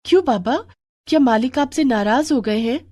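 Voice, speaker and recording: A woman voicing a caring, responsible young daughter in a moral story, gentle and reassuring. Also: sits high, moderate pace, dry recording, studio quality, pitched above the performer's own voice. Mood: concerned, reassuring. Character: caring, responsible young daughter looking after her family.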